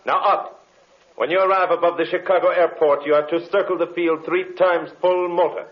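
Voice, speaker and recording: An actor playing a ruthless, gloating mastermind in an old-time radio drama, deliberate, commanding and full of menace. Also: male voice, on the high side, fairly even intonation, close to an everyday voice. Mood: barking orders. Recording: dull, muffled old radio recording.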